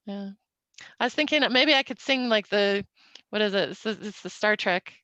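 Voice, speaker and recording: A woman's voice: mid-range voice, unhurried, pushed and bright, no room sound, lo-fi sound. A middle-aged woman from Utah chatting casually on a podcast, laughing often. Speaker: podcast guest. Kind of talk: casual chat.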